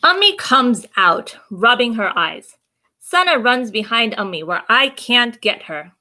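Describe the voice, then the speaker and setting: A woman with a high, bright voice reads a storybook aloud for children. She takes her time, telling it expressively and addressing the young listeners directly as a story time host. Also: sing-song, unhurried.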